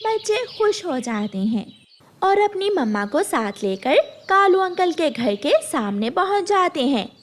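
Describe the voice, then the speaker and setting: Slow, high-pitched woman narrating a children's story. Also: big pitch swings.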